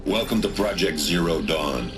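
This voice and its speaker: An actor playing a blunt, grave American general in a game, giving a formal briefing. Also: male voice, deep voice, expressive, moderate pace, music in the background.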